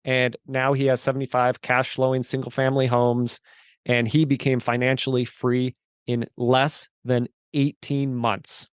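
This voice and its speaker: A man just turned forty, speaking at a middle pitch in an earnest, emphatic storytelling manner. His voice is recorded close and dry, with no room sound. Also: level tone, a little slow, clean tone, dry recording. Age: 40s. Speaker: guest. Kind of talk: casual interview chat.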